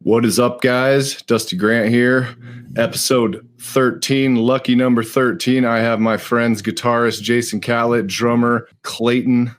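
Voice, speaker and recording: A man's voice: medium pitch, level tone, a little slow. A man in his late thirties hosting a podcast, chatting casually, laughing often and swearing offhandedly. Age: late 30s. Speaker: podcast host. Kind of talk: casual chat. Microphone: live stream podcast mic.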